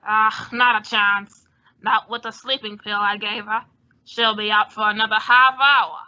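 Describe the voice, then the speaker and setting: A woman's voice: medium pitch, steady tone, a little slow, sharp tone. A woman playing a sly, sardonic accomplice in a con, wry and knowing, in a radio comedy. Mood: wry, smug. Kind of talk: 1950s radio comedy acting. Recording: dull old-time radio recording.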